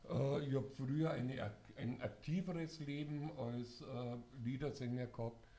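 Older singer, hoarse and dark-toned, slow halting delivery at a live event with faint background noise. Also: male voice, mid-range voice, fairly even intonation. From Bavaria, Germany. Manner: halting, many filler sounds. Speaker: singer-songwriter introducing an event. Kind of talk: casual live talk to an audience.

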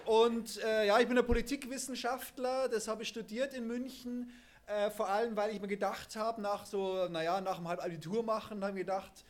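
Male lecturer with a high voice, chatty and self-mocking. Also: fairly even intonation. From Munich, Germany. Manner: self-deprecating, chatty, halting. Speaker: lecturer giving a talk. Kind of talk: casual lecture introduction.

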